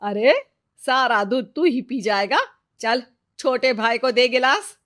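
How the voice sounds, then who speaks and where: Woman voicing cartoon mother, slow delivery, higher-pitched, plaintive, nagging, poor household. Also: fairly bright tone. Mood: scolding, spiteful. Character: worried, scheming mother of a poor household, turning spiteful grandmother. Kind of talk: Hindi cartoon moral-story dubbing.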